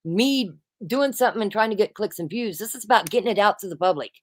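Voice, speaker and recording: A woman hosting a casual chat, loud-voiced and emphatic, who keeps saying "absolutely" and "listen.". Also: mid-range voice, lively intonation, fast. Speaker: host.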